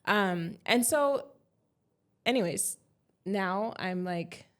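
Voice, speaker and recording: A woman in her late thirties, a podcast guest chatting in a lively way, acting out her own thoughts in quotes and laughing often. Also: medium pitch, extremely slow. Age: 30s. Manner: chatty and animated, breaks into quoted inner voices, laughs often. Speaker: podcast guest, café founder and life coach. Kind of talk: casual interview chat.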